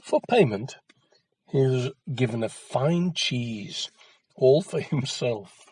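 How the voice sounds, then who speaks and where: Male folktale narrator for children: measured, expressive, husky, recorded close and dry. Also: mid-range voice, no room sound. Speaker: storyteller.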